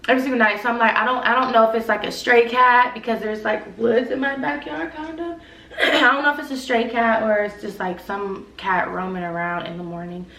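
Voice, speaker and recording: A woman's voice: a little high, steady tone, a little fast, sharp tone, a little hiss or hum. A young woman vlogger with a stuffy nose, chatting casually and rambling with lots of "like" and "y'all". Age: young adult. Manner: rambling, filler-heavy, frequent "like" and "y'all". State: stuffy nose, sick with a cold-like illness. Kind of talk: casual chat to camera. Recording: echoey room, voice a bit far from mic.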